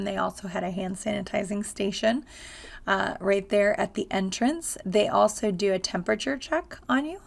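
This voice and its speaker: A woman speaking in a casual, chatty travel-vlog manner, her voice hoarse and raspy after a lot of screaming. Heavy vocal fry grinds under her mid-pitched delivery. She rambles along with frequent ums and uhs. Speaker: travel vlogger.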